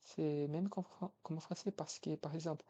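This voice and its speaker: A man's voice: a little high, steady tone, moderate pace, dark tone. A man of twenty-one from Brazil with a Brazilian Portuguese accent, a language-learning vlogger talking hesitantly with many fillers and restarts. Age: young adult, 21. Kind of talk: casual vlog, practicing foreign languages.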